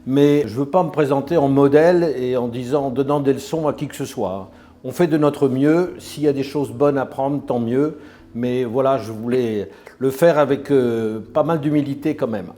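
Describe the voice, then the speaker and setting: Older man, slight Parisian accent, dark-toned. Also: medium pitch, moderate pace. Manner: hesitant, many fillers and restarts. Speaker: master of a hunting pack. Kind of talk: documentary interview.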